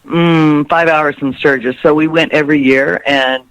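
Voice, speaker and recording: A musician guest talking in a rambling, laugh-filled way during a radio interview. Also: male voice, fairly high pitch, steady tone, normal speed, clear, resonant tone, dry recording, highs cut off. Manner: rambling, laughs often, warm storytelling. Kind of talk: interview chat.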